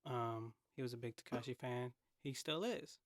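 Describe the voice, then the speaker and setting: An American man of medium pitch chats on a podcast, recorded dry with little room sound. His talk is casual and slangy, in short loose phrases with swearing mixed in. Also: moderate pace, no echo. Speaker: podcast speaker.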